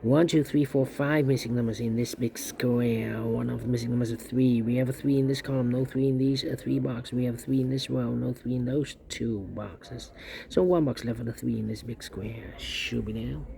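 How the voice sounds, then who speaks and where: Male tutorial presenter: relaxed, creaky voice of average pitch, methodical step-by-step delivery with muttered repetition. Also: moderate pace. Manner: repetitive, methodical, muttering to himself while working. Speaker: puzzle instructor. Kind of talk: step-by-step Sudoku tutorial commentary, thinking aloud.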